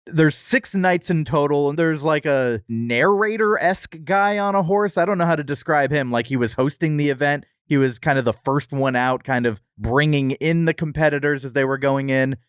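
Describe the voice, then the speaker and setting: A man's voice: fairly high pitch, moderate pace, hardly any pauses, clear, resonant tone, no echo, clean studio sound. A man co-hosting a podcast, giving a wry, descriptive review in casual, comedic conversation. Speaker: podcast co-host.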